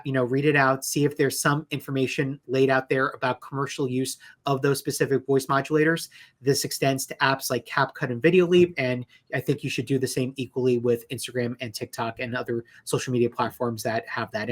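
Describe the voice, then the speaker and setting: A man's voice: mid-range voice, a bit bright. Conversational man explaining legal points. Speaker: lawyer, interview guest.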